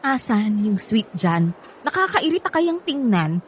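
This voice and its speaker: High-pitched, sassy, bitter young Filipina. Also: female voice, sing-song, a little slow, slight background noise. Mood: irritated. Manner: sassy, blunt, slangy. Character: bitter, sharp-tongued, hot-headed young woman, easily irritated. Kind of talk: Filipino radio drama acting.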